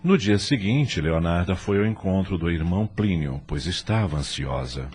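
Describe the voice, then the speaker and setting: A man's voice: deep voice, animated, normal speed, a little mellow, soft background music. A Brazilian man delivering scripted narration for an audio drama.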